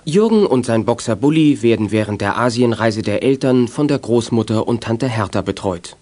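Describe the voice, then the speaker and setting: A man's voice: mid-range voice, expressive, normal speed, mellow. A man narrating a children's audio drama as a scripted storyteller.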